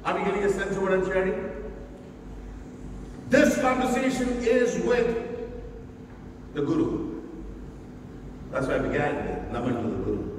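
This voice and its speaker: A man from Delhi with an Indian accent giving an animated motivational talk and firing rhetorical questions at the audience. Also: sits high, normal speed, long pauses. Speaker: motivational speaker. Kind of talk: interactive motivational talk to educators. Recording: echoey hall, voice from far off, faint hiss.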